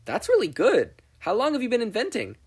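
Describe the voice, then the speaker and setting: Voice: medium pitch, wildly swooping pitch, fairly quick, a little mellow, husky, voice pushed up, full character voice. A podfic reader voicing a shy, nervous teenage boy who speaks politely, hesitantly and earnestly.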